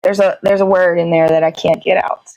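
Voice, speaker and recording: A woman's voice: on the low side, little pitch movement, a little fast, a little mellow, dry recording, lo-fi sound. A woman hosting a casual livestream, chatting in a meandering way, often searching for words and swearing casually. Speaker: live show host. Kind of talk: casual rambling livestream chat.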